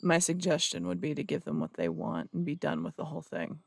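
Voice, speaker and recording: A blunt, suspicious city detective in an audio drama, acted by a man, his voice deeply gravelly and sitting a little high. He needles with curt questions, and the sound is close and dry. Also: very animated, a little fast, no echo, full character voice. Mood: grim. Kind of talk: audio roleplay drama acting.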